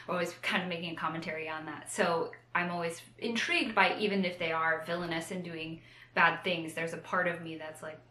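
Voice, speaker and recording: A woman's voice: lowish voice, steady tone, fairly quick, pushed and bright, roomy sound. A woman in casual, unscripted chat as co-host of a book show, rambling and self-deprecating, often slipping into acted-out quotes.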